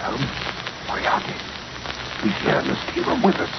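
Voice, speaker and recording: An actor playing a loyal, flustered, slightly slow gentleman sidekick who exclaims his way through a 1940s radio play. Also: lightly acted. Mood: alarmed. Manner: flustered, exclaiming, literal-minded. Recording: muffled, noisy old radio recording.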